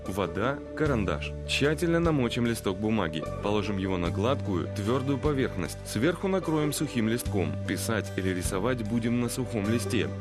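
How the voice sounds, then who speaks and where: A man's voice: fairly low pitch, close to an everyday voice. A man voicing a know-it-all robot compass in a children's cartoon, explaining things patiently with a slightly teasing, instructive manner.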